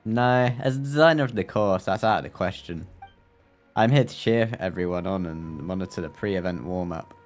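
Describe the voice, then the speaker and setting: A man's voice: deep voice, moderate pace, faint music behind. A man live streaming a game, playfully exclaiming and reading dialogue aloud.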